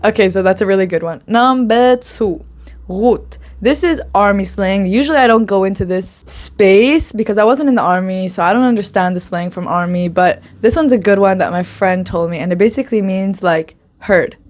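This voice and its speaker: Woman, casual lesson host, chatty, upbeat, laughs a lot, mid pitch. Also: normal speed, a little mellow. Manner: drops in Hebrew phrases. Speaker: Hebrew slang teacher.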